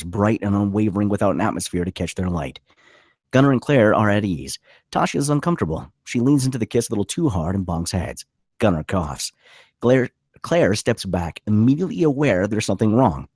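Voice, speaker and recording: A man's voice: on the low side, a little mellow, breathy edge, no echo, studio quality. A man reading the stage directions as scripted narration at a screenplay table read.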